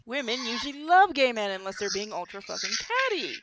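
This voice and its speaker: A woman's voice: a little high, big pitch swings, fast, crowd noise. A woman streamer chatting casually with friends, teasing and blunt, with plenty of swearing. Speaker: streamer on a group live stream. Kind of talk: casual chat.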